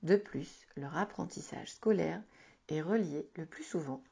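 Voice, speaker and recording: Low, gravelly woman reading advice. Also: very noisy. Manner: calm, measured, instructive reading. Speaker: narrator reading an advice article. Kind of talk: scripted informative narration.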